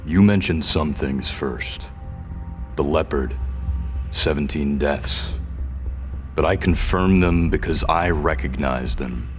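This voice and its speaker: Haunted rebel captive, super low voice, strained and stubborn, audio drama with music behind. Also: male voice, slow. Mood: insistent. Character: haunted, defiant male rebel prisoner with fading memory. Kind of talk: scripted audio drama acting.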